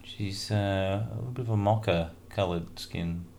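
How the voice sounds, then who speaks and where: A man with a very low, deep voice, rambling and joking as he hosts a casual radio show. He fills his talk with "uh" and asides. Faint noise hums under the recording. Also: steady tone, moderate pace, vocal fry, darkish tone. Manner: chatty, jokey and rambling, with many fillers. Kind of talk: casual banter between music tracks.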